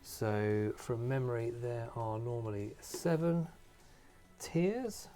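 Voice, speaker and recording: A man hosting a hands-on tutorial, talking casually and thinking aloud in an unhurried way with pauses. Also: medium pitch, lively intonation, music in the background. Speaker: host of a model painting tutorial. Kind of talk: casual talk-through while painting.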